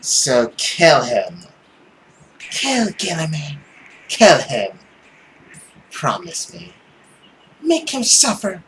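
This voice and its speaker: A man's voice: on the high side, huge pitch swings, a bit bright, slight background noise, voice pushed up, full character voice, low-quality recording. Man as a menacing, scornful, domineering mother. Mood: vengeful, commanding. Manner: accusing, drawn-out, commanding. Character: menacing, scornful, domineering mother haunting her daughter's mind.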